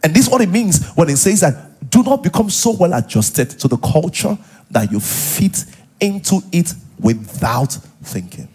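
A middle-aged man with a Nigerian accent and a husky voice, preaching as a pastor to a live congregation in an animated, conversational way, full of interjections and rhetorical questions. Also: a little high, normal speed. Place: large church auditorium, on stage. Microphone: headset.

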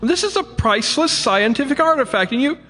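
Pompous flustered professor. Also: male voice, extremely high pitch, expressive, brisk, pushed and bright, big-room sound, exaggerated voice. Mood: indignant. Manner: lecturing, flustered. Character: indignant pompous male university professor. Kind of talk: radio drama acting. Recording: radio drama with music underneath.

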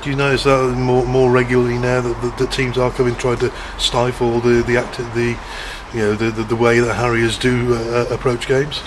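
A man's voice: mid-range voice, flat delivery, moderate pace, hardly any pauses, background noise. A man asking post-match questions for the club, restarting words as he goes. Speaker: club media interviewer.

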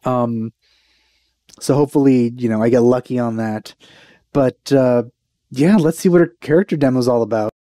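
A young man talks informally to his viewers as a reaction host. His voice is mellow and dry, picked up close on a headset. He sounds enthusiastic while keeping it casual. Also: medium pitch, moderate pace, long pauses, no echo. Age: young adult. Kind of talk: casual chat reacting to a game trailer. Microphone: headset microphone.

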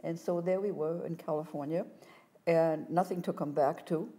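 An older woman telling her life story as a guest in a studio interview, animated and gesturing as she talks, on a lapel mic. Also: low voice, normal speed. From France. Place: studio set, seated in armchair.

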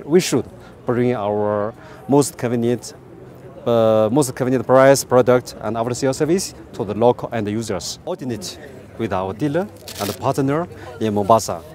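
Mellow, halting Chinese-accented man. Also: mid-range voice. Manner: halting, repeats words and phrases. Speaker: company representative being interviewed. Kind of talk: unscripted press interview. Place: outdoors beside a parked truck.

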